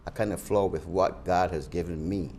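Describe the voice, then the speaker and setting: A man's voice: low-pitched, fairly even intonation, moderate pace, darkish tone, slight background noise. An older man from Barbados, a pastor, answering interview questions earnestly with many restarts.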